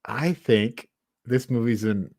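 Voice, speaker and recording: A man with an average-pitched voice hosts a casual film discussion. He talks informally and haltingly, working out his opinion aloud. The sound is dry, with very little room in it. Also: darkish tone, no room sound. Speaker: podcast host. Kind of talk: casual film review banter.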